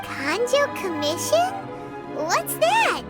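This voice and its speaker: Casting: childlike video game fairy sidekick, piercingly high and squeaky, very animated, excitable, music underneath. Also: female voice, slow pace, full character voice. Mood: curious, exasperated. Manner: excitable, speaks of self in third person. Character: small excitable floating fairy-like girl companion.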